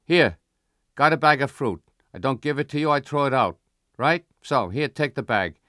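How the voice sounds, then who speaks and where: Man doing a brusque grocer, raised pitch. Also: animated, moderate pace, bright, forceful tone, no echo, studio quality. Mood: gruffly generous. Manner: brusque, clipped phrases, tag questions. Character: gruff, kindly Italian immigrant grocer, adult man.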